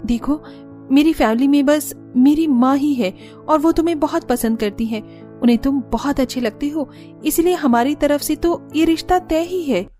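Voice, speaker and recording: A woman's voice: high-pitched, normal speed, mellow, soft background music, voice pushed up, natural voice. A woman voicing a kind, accommodating young bride from a rich home, earnest and dutiful, in a cartoon. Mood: happy, agreeable. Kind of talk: Hindi cartoon story dialogue.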